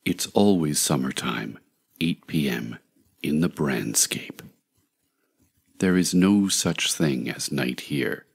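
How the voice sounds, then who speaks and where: Very deep, slow, husky male meditation guide. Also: animated. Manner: measured, soothing, deliberate delivery with long pauses.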